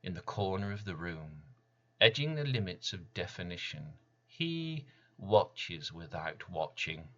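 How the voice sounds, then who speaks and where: A man with a lowish, forceful voice recites poetry theatrically. He goes slowly, leaving pauses between phrases, and the sound is slightly dull. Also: very animated. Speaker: poet reading own work.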